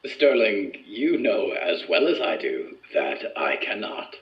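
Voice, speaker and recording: An actor playing a formal, earnest male research subject in an audio drama, with measured, deliberate wording. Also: low voice, very animated, heavily acted. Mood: measured, reserved. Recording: echoey room, muffled, music behind.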